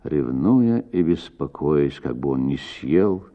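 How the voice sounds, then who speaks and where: Male actor, low voice, lively intonation, literary radio narration, slightly dull sound. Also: slow. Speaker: actor reading a short story.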